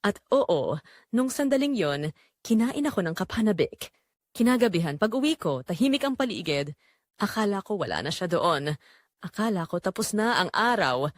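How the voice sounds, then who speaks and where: A woman's voice: medium pitch, big pitch swings, moderate pace, no echo, studio quality. A woman reading a first-person dramatic story in an emotional, confessional manner. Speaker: audio drama narrator.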